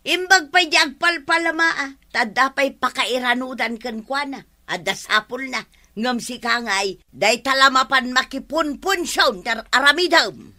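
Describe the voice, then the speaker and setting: An actress playing a long-suffering, sharp-tongued village wife in an Ilocano radio comedy, nagging and sarcastic, with an Ilocano accent. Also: female voice, high-pitched, measured pace.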